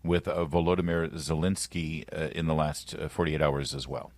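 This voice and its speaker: A man's voice: a bass voice, fairly even intonation, normal speed. A man hosting a conversational news interview on radio, framing a topic for a guest. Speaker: radio host.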